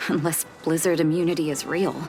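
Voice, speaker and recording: A woman's voice: low voice, steady tone, fairly quick, natural voice. A woman voicing a caring, determined doctor and leader in a video game, composed and earnest, with occasional sighs. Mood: concerned, weary. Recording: music behind the voice. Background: game background music.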